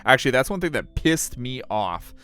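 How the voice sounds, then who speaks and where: A man's voice: mid-range voice, big pitch swings, moderate pace, sharp tone, smooth. A man, casually commenting on and narrating a game in an informal, rambling way. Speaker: streamer reading a visual novel.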